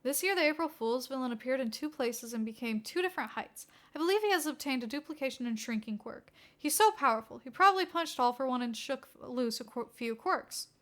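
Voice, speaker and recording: Woman as a deadpan teen boy, high-voiced. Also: fairly quick, hardly any pauses. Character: deadpan teenage boy conspiracy theorist.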